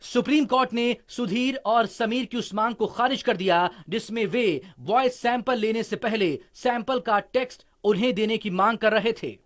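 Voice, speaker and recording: A man with a distinctly high voice narrates a news report. He reads from a script as a reporter's voice-over. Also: moderate pace, hardly any pauses, a bit bright.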